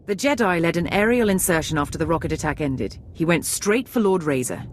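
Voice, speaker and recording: Game role: actress as a hard-bitten female soldier, lowish voice, blunt and bitter, faint noise. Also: expressive, a little fast, natural voice. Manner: blunt, sardonic. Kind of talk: video game voice acting.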